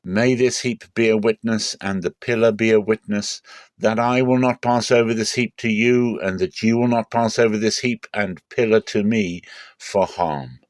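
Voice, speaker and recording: Man doing a possessive older patriarch, stern, insistent, raised pitch, declamatory, dramatic scripture reading. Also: moderate pace, no echo, lightly acted. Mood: solemn warning.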